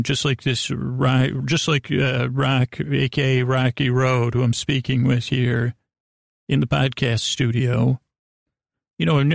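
Male bedtime podcast host, mid-pitched with vocal fry, dry sound; halting, digressive, soothing rambler. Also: dry recording. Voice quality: creaky. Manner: meandering, halting, stumbles over words, self-interrupting; soothing, lulling.

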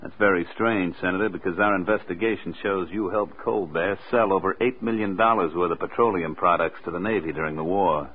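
An actor playing a brusque, sardonic federal agent in a 1940s hardboiled radio drama. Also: male voice, low-pitched, fairly quick, hardly any pauses, husky. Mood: pressing, sardonic. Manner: curt, sardonic. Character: brusque, cocky tough male federal agent. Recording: tinny vintage radio recording.

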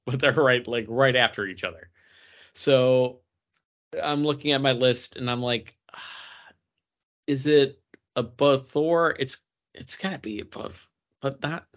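A man's voice: mid-range voice, slow, pauses often, a bit bright, muffled recording. A man co-hosting a podcast, thinking aloud in casual talk about films. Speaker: podcast co-host. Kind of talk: casual film discussion.

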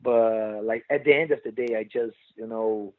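A Brazilian man with a Portuguese accent, a guest speaking halting non-native English with many restarts and "you know". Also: on the low side, steady tone, no echo, narrow, tinny audio. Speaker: guest fighter. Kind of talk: casual interview chat.

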